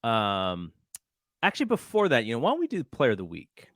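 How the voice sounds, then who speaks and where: A man's voice: medium pitch, huge pitch swings, fairly quick, a bit bright, no room sound, clean studio sound. A middle-aged man co-hosting a sports podcast, talking in a casual conversational way. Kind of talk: casual chat.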